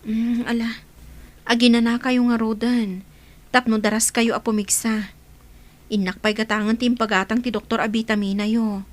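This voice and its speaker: A woman's voice: a little high, little pitch movement, moderate pace, pauses often, close to an everyday voice. A woman playing an affectionate, eager granddaughter in an Ilocano radio drama, with an Ilocano accent. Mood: caring, coaxing.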